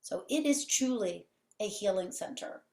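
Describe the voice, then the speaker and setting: Fervent woman talking on a podcast, keeps stacking up repeated phrases, mid pitch voice with a lot of room echo around it. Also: lively intonation, a little slow, warm tone. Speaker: podcast guest, healing center owner. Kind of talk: conversational interview. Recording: roomy echo.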